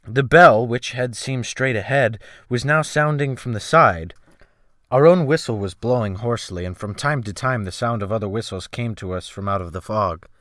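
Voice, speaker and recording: A man narrating a literary audiobook in the first person, with formal, theatrical, measured phrasing. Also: mid-range voice, a bit bright, no echo, studio quality.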